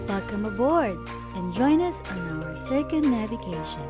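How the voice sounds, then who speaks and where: A woman's voice: medium pitch, big pitch swings, moderate pace, over loud music. A woman talking casually as a sailing vlogger. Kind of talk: casual vlog talk.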